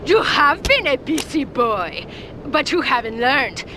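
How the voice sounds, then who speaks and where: A mocking cartel villainess in a crime game. Her high voice swings widely as she taunts, over rumbling noise. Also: sing-song, a little slow. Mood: gloating. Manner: sneering, taunting.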